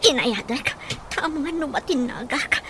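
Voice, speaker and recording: A woman's voice: sits high, expressive, measured pace, background noise. An actress playing a distressed, pleading captive woman in a Philippine radio drama, emotive and exclamatory, with a Filipino accent. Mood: tense, determined.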